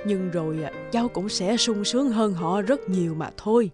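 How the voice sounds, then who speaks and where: A woman voicing a loving, poor old grandmother in a cartoon, gentle and consoling. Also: mid-range voice, normal speed, music in the background, close to an everyday voice. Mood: tender concern.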